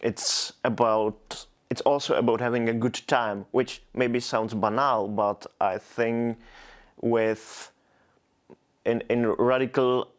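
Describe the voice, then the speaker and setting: Czech-accented guy talking really slowly, stops and restarts a lot, mid-pitched voice. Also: fairly even intonation. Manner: hesitant, many restarts and repeated words. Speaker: poet, guest. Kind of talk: reflective interview answers.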